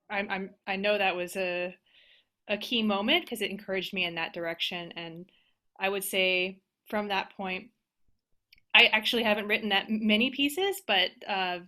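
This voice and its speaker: An American woman speaking casually as a panelist on a video call, with halting, reflective phrasing. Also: medium pitch, bright, forceful tone. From United States. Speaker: panelist, organist and composer.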